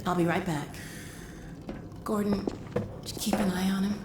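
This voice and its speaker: A woman acts an attentive young adult daughter in a video game. Her manner is soft and caring, with a medium pitch and natural delivery. There is echo on the voice and loud noise behind it. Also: steady tone, unhurried, natural voice. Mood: hushed concern. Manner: soft, solicitous. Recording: echoey, loud background noise.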